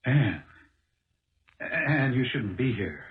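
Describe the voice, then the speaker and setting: A man acting in a 1970s radio drama as an impulsive, fast-talking American car salesman, earnest and halting as he protests his innocence. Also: medium pitch, sing-song. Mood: weary, agitated. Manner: halting, earnest, restarts phrases. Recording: dull lo-fi old radio broadcast.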